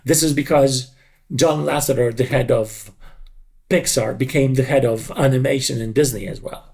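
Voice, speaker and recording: A middle-aged or older man speaking reflectively in an interview, in deliberate, halting, emphatic short phrases. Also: mid-range voice, fairly even intonation, creaky, a little mellow. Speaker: dubbing creative director.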